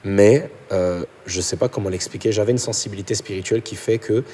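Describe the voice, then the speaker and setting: A young man from Portugal, a pastor, telling his conversion story in a podcast chat, animated and then hushed at intimate moments. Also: slight background noise. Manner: fast, animated storytelling, drops low and soft at intimate moments. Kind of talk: personal testimony in a podcast chat.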